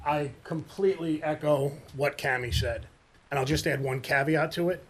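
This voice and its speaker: A man's voice: fairly high pitch, normal speed, slight background noise. A man, a homeowner making a public comment at a planning board meeting. He speaks in a pointed, argumentative way. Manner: pointed and argumentative, growing forceful.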